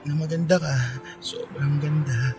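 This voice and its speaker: An actor playing an eager, caring, shyly smitten young office worker in a Filipino radio drama, with a Filipino accent. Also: male voice, on the high side, fairly even intonation, a little slow, music in the background, natural voice. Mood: shy, flustered. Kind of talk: radio drama acting in Taglish.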